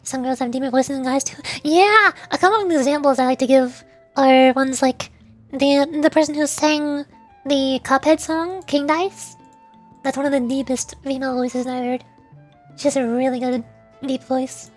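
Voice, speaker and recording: A woman with a small, squeaky, girlish voice, chatting casually and earnestly to viewers on a livestream. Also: fairly even intonation, moderate pace, a little mellow, faint music behind. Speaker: streamer and VTuber, voice actor.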